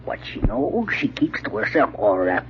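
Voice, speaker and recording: A man's voice: lowish voice, very animated, moderate pace. An actor playing a jumpy, bloodthirsty, grumbling bandit henchman in an old-time radio drama. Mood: wary, insistent. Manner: wary, grumbling. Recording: muffled, noisy vintage radio recording.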